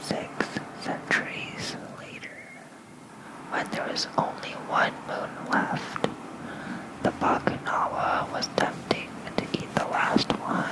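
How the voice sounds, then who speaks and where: An ASMR host reading lore as a bedtime story in a soft, breathy whisper, gentle and soothing, close to a fluffy microphone. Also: low-quality recording. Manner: slow, gentle, soothing delivery. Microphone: close fluffy-covered microphone.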